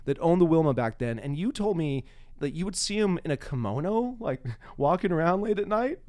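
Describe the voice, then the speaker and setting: A man's voice: fairly high pitch, very animated, a little fast, darkish tone, clean studio sound. A man hosting a casual, chatty interview, often echoing back what the other person says. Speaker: interviewer, podcast host.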